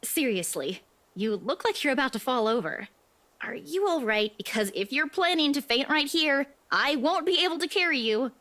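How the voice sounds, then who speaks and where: A woman's voice: sits high, lively intonation, normal speed, sharp tone. An actress playing a concerned young woman in a visual novel, questioning, caring and plain-spoken. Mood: worried, caring. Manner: questioning, solicitous.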